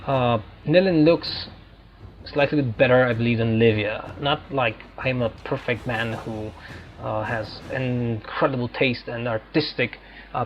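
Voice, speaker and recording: A man who is a non-native English speaker giving casual, halting, digressive commentary as a gaming let's player. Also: mid-range voice, dull audio, a little hiss or hum. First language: not English. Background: faint game music.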